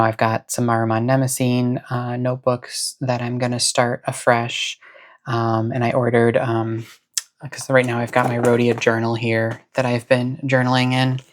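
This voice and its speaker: A person chatting casually as the host of a cozy hobby vlog, with a soothing voice and a chill, rambling, encouraging manner. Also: medium pitch. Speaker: host of a planner and stationery channel. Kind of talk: casual cozy chat to camera.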